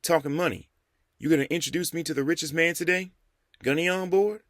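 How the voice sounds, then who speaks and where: A man's voice: fairly high pitch, fairly quick, fairly bright tone, dry recording, clean studio sound, pitched above the performer's own voice, natural voice. A man voicing a young, easygoing man who teases and jokes about money in a playful way. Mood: eager. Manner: playful, teasing. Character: young man, joking, easygoing, newly thrifty.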